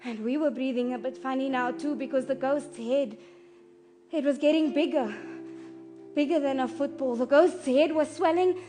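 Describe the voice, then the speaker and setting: A woman playing a shaken child who tells a story in an earnest, halting, repetitive and emotionally intense dramatic monologue. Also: high voice, soft background music. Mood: hushed, uneasy. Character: shaken child earnestly recounting a violent night at home.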